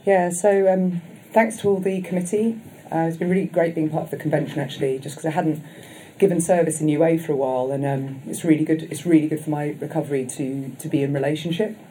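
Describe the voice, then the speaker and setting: Low, husky woman sharing her story. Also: fairly even intonation, a little hiss or hum, rough recording. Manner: loud voice, reflective, halting with many fillers. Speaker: guest speaker at a recovery convention. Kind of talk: personal recovery share. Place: convention session room. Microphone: microphone.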